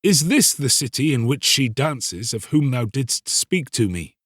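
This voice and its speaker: A man's voice: medium pitch, big pitch swings, a little slow, slightly rough, no echo, clean studio sound. A man voicing a young, lovelorn fisherman in a storybook, earnest and troubled, with questioning, archaic speech. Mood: earnest longing. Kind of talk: dramatised storybook reading.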